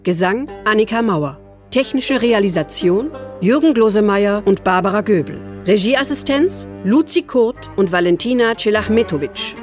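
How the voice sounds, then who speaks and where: A woman announcer reads scripted credits for a radio drama. Her voice is medium in pitch. Orchestral music with violin plays clearly behind her. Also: lively intonation, moderate pace, a little mellow.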